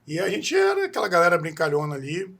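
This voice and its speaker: Husky higher-voiced man. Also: huge pitch swings, fast, a bit bright. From Espírito Santo, Brazil. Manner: earnest, rambling, tags many phrases with a questioning aside and restarts often. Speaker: podcast guest. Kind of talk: personal life story in an interview.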